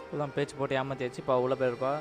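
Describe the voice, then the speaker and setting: A man's voice: mid-range voice, flat delivery, racing. A man with a Tamil accent giving casual commentary as he plays through a video game. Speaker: gaming streamer. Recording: game music behind.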